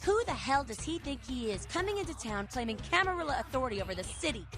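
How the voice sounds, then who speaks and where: A woman's voice: high voice, very animated, fast talker, over music. An actress playing a hot-headed, combative female vampire gang leader in a video game, brash and sardonic. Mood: indignant. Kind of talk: video game character acting.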